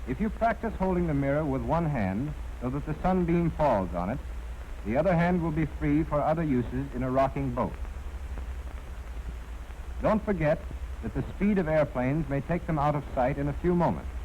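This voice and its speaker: Male narrator, American, 1940s training film: slightly high voice, formal and exact, old soundtrack with faint noise. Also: a little mellow, poor audio. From United States. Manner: precise, formal, instructive.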